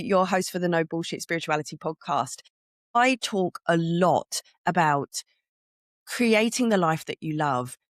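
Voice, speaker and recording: A middle-aged woman hosting a spiritual podcast, with a croaky voice, talking earnestly and conversationally and stopping for little laughs. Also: fairly low pitch, no room sound, clean studio sound. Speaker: podcast host. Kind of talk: solo spiritual podcast talk.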